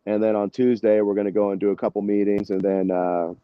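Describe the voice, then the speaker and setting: A man's voice: fairly low pitch, level tone, quick pace, clean tone, no echo, highs cut off. An American man chatting casually as an interview guest, in a rambling, informal way. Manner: rambling, informal, peppered with "you know" and "man". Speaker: band musician, interview guest.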